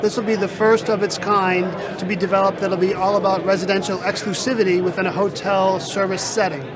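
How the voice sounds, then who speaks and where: A man speaking as a real estate sales director in an interview, with measured, polished sales talk, on a busy conference floor. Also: high-pitched, little pitch movement. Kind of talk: interview at a trade event. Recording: loud crowd chatter behind. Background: crowd chatter.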